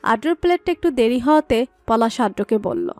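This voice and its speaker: High-voiced woman narrating a story. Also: animated, brisk, a little mellow, no echo, soft background music.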